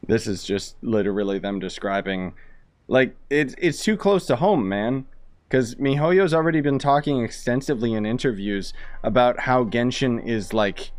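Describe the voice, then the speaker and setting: A man making sarcastic, offhand remarks while he plays through a game. His voice sits at a middling pitch, loose and casual, with laughing asides. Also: lively intonation, moderate pace, a little mellow. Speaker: gaming streamer commenting over a game. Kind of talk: casual reaction commentary.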